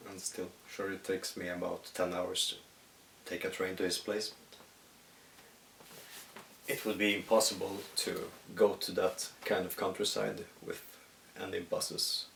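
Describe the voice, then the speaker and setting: A Swedish man, speaking English as a second language, who talks about his music in a halting, thoughtful way with many pauses. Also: deep voice, flat delivery, gravelly, fairly bright tone. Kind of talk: reflective solo monologue. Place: at home in the countryside. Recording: echoey room, distant mic, faint hiss.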